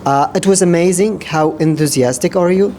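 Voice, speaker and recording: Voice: mid-range voice, moderate pace, dark tone, slight background noise. A round-table participant giving formal, courteous comments to the panelists. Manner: polite, formal, addresses panelists directly. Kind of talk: panel discussion remarks.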